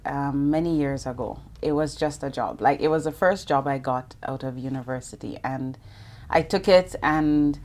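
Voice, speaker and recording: A woman's voice: a bass voice, moderate pace, a little mellow. A middle-aged woman from Jamaica, a banking executive, talking reflectively as an interview guest and laughing often. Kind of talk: interview conversation.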